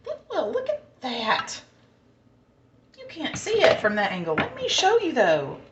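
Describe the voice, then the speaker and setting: A woman's voice: high voice, huge pitch swings, measured pace, reverberant, muffled recording, noisy background. A woman with a Southern American accent, chatting casually and thinking aloud as she cooks in her home kitchen. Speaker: home cook. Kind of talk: casual cooking vlog chat.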